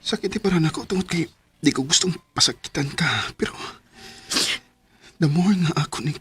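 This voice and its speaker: A man playing an apologetic, guilt-ridden young boyfriend in a radio drama, husky-voiced, with a Cebuano Filipino accent. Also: a little high, lively intonation, moderate pace, fairly bright tone, heavily acted. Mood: pained, apologetic. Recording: music under the voice.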